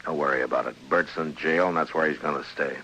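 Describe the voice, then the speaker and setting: An actor playing a stern, weary frontier marshal in an old radio western, grave and plain-spoken. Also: male voice, a bass voice, fairly even intonation, fast talker, bright, forceful tone. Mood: wry, firm. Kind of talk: old-time radio western drama acting. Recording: noisy old radio drama recording.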